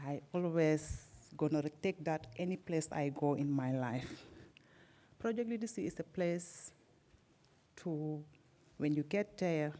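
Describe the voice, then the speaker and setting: Woman from senegal, wolof is her first language, graduation speech, lowish voice, earnest. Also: mellow. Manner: heartfelt, simple non-native English, laughs between phrases. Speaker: graduating student speaker. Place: event hall at a library graduation.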